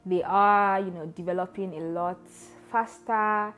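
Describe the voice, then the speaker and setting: A woman giving emphatic, animated interview answers. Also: medium pitch, a little slow, narrow, tinny audio. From Nigeria. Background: faint music.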